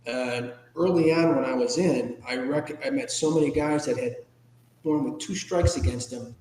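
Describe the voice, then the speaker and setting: An American man speaks as an interview guest in a candid, informal, reflective way. His pitch sits in the middle range for a man. The room around him is echoey, with a long reverberant tail. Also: normal speed, darkish tone, slight background noise. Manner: candid, informal, occasionally salty. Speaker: podcast guest, ethics trainer and public speaker. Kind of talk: reflective personal interview answers.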